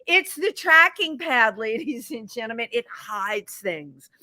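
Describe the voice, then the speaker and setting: Chatty woman host with a bright voice. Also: a little high, lively intonation. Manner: chatty, quick to agree. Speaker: talk show host. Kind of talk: casual interview chat.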